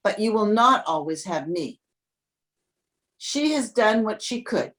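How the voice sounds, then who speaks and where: A woman reads scripture very slowly at a middle pitch. It is a scripted church reading, given phrase by phrase by a lay reader. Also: animated, fairly bright tone.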